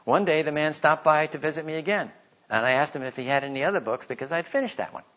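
A man giving informal religious teaching, telling stories and reading aloud in an animated way. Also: a little high, fast talker. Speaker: Bible teacher giving a talk. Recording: slightly dull, lo-fi recording.

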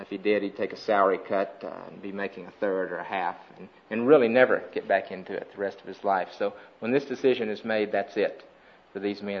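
A man with a somewhat low voice gives an earnest mission report to a conference audience. He talks steadily, pausing often on "uh". The recording sounds dull and lo-fi. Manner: earnest, steady, frequent "uh" pauses. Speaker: missionary speaker.

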